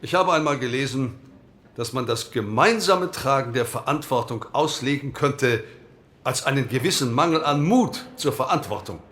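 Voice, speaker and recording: A man's voice: medium pitch, expressive, normal speed, fairly bright tone, lightly acted. An actor playing a postwar male parliamentary delegate who gives an earnest, oratorical speech and argues back when heckled. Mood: insistent. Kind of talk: radio drama, parliamentary speech.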